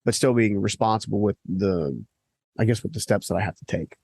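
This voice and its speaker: Male podcast host, earnest and chatty with lots of fillers; low, gravelly husky voice, dry studio sound. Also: fairly quick, a little mellow, no echo. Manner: earnest, talks in long lists with many fillers. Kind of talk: conversational interview. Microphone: studio microphone.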